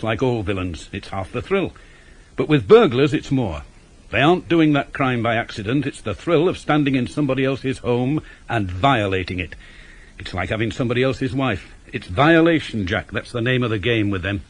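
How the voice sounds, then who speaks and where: Actor playing a dry, blunt British police inspector. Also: male voice, medium pitch, natural voice. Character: seasoned, cynical male police inspector, brusque and shrewd. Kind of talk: 1980s British radio drama acting.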